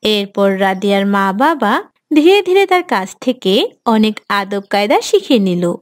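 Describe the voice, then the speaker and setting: A woman's voice: mid-range voice, sing-song, measured pace, no room sound, clean studio sound. A woman narrating a children's cartoon story from a script.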